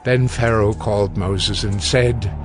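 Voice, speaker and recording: A man narrating a scripted audiobook as a measured storyteller. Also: on the low side, fairly even intonation, faint music behind.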